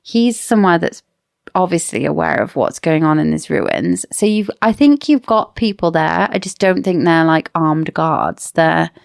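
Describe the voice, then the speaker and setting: A woman's voice: sits low, lively intonation, normal speed, creaky, no echo, clean studio sound. A woman co-hosting a casual book discussion podcast, explaining and arguing her points in an opinionated way. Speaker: podcast co-host.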